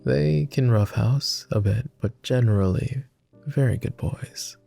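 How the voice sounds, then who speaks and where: A man playing a tender, easily flustered vampire lord with formal phrasing and a soft, gravelly, breathy voice, in an intimate ASMR boyfriend roleplay. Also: mid-range voice, unhurried, soft background music. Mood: gently inviting. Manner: hesitant, formal old-fashioned phrasing.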